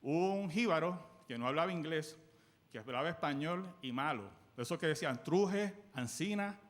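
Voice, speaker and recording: Puerto rican guy preaching in church, kinda high voice, animated, keeps asking the crowd stuff. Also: moderate pace. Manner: animated, call-and-response questions to the congregation; swings between loud bursts and soft quoted lines. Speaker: guest preacher. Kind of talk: sermon on church history. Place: church sanctuary.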